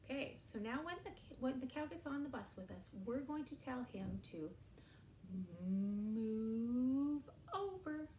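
A woman in her forties leads a children's rhyme in a bright, high, lilting voice that swoops up and down. She speaks slowly and with exaggerated emphasis, as if to toddlers. She was recorded in a small room with echo and faint background noise. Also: expressive. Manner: slow, sing-song and exaggerated for young children. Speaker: early years educator. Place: small indoor room against a bare wall.

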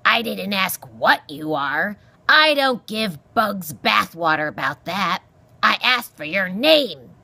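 A woman's voice: mid-range voice, very animated, slow pace, vocal fry, pushed and bright, dry recording, exaggerated voice. A woman voicing a grumpy old male porcupine in a storybook, barking scornful insults in a growling bluster. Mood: irritable.

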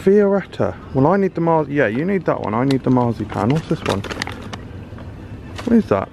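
British male food vlogger, gravelly, chatty and curious, handheld mic in busy store noise. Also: medium pitch, big pitch swings, moderate pace. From United Kingdom. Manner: chatty, curious, exclaiming over products. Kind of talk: casual running commentary while shopping. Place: large supermarket aisles, indoors.

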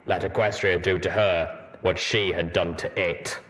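A man voicing a blunt, world-weary armored pony soldier in a measured, matter-of-fact way for a storybook reading. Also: sits low, steady tone, fairly bright tone, a little hiss or hum. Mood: grave, matter-of-fact.

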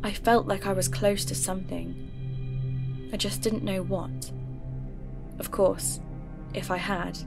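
A woman narrating her own investigation in first person for an audio drama podcast, in a measured, reflective storytelling manner. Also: medium pitch, flat delivery, creaky. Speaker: narrator and host. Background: background music.

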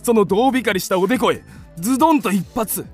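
A man's voice: high-pitched, animated, brisk, faint music behind. A man playing a blustering, hot-headed creditor given to impulsive declarations in a theatrical radio drama. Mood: excited admiration.

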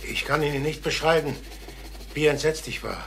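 An actor playing a nervous, defensive older travelling salesman who confesses, in a halting, brooding radio crime drama manner. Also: on the high side, gravelly, a bit bright, slight background noise. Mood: brooding, haunted.

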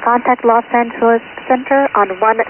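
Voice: medium pitch, moderate pace. An air traffic radio operator speaking formal, clipped procedural phraseology over HF radio, repeating details for confirmation. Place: recorded off shortwave radio receiver. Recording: narrow phone-like HF radio, static noise.

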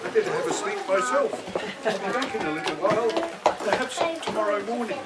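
A man's voice: high-pitched, expressive, brisk. A man voicing a naive, timid boy who speaks hesitantly in a children's puppet show. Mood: earnest. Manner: hesitant, repeats words, asks the children questions. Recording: far off outdoors, noisy children's crowd.

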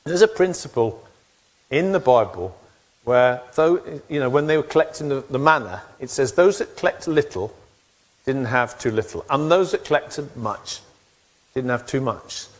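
A middle-aged man preaching on a church stage, his voice rising and falling in a lively way as he makes his points. He sounds animated and conversational, and he is picked up close by a headset mic. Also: medium pitch, normal speed. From England. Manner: animated, gestures widely while pacing the stage. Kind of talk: sermon. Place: church stage with wooden backdrop.

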